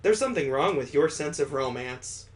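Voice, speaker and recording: A man's voice: on the high side, animated, normal speed. A man hosting a casual read-aloud of a visual novel, rambling off into wry asides as he goes. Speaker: gaming host.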